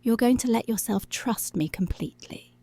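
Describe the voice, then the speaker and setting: A woman playing a dominant, seductive policewoman in an ASMR roleplay, breathy and often whispering, slow, teasing and controlling, close to the microphone. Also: a little high, background noise, natural voice. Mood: intimate, commanding.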